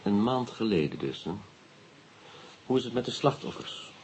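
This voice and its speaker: A man playing a probing investigator in a radio play, deliberate and questioning, with a dry sardonic edge. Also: fairly low pitch, big pitch swings, a little mellow, slightly rough, exaggerated voice, poor audio. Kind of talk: radio drama dialogue.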